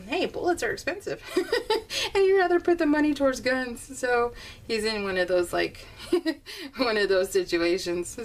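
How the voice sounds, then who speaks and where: An American woman chatting casually in a rambling, giggly, conversational way, as the host of a homemade craft vlog. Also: a little high. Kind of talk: casual chatty vlog voiced in real time. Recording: slightly distant mic, faint room noise.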